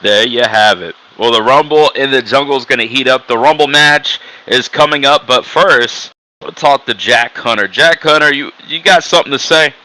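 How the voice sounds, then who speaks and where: A man with a bright, forceful voice hosts a wrestling show, announcing and handing over. The sound is dry, with no room on it. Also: mid-range voice, normal speed, dry recording, poor audio. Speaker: host.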